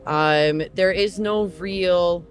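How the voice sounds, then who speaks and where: A man from Croatia who drives trucks, answering interview questions earnestly with frequent pauses. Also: sits high.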